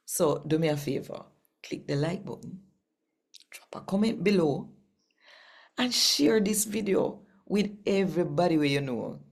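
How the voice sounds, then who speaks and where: A woman with a Jamaican accent reading scripture expressively and addressing listeners directly, with deliberate, emphatic storytelling and pauses. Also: lowish voice, animated, gravelly, a little mellow. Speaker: host reading daily scripture.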